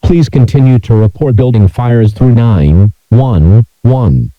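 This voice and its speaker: A man's synthesized voice reading scripted emergency alert messages in a flat, measured, robotic delivery. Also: medium pitch, no room sound, highs cut off.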